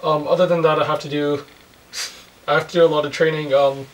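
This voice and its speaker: A man's voice: a little high, flat delivery, a little fast. A man in his twenties explaining things casually as an interview guest. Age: 20s. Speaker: college radio technical director, guest. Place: furnished indoor music room studio. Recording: recorded at a distance from mic.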